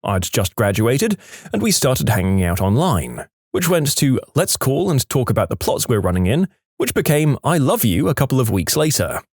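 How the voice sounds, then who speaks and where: A man's voice: medium pitch, big pitch swings, moderate pace, darkish tone, slightly rough, no room sound, clean studio sound. A man narrating read-aloud online stories in a scripted storytime style, as the host of a channel.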